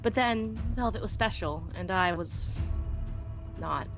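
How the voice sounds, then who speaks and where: A woman's voice: mid-range voice, slow pace, music in the background. A woman narrating a scripted first-person story dramatically, as in a fan audiobook.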